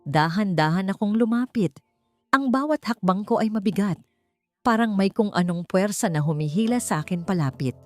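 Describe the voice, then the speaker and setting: A woman narrating a dramatic first-person audiobook story in an emotive, deliberate manner. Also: mid-range voice, animated, darkish tone, faint music behind.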